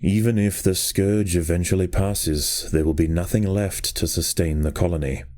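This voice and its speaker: A man reading scripted nature documentary narration for a game in a measured, grave storytelling manner. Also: deep voice, fairly even intonation, warm tone.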